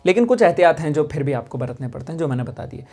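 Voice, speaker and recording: A young male music teacher sits indoors and explains vocal care to the camera in a slightly high voice. He talks patiently and keeps checking in with his listeners. Soft music plays faintly underneath. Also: sing-song, very fast, husky. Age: young adult. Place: indoor studio with instruments.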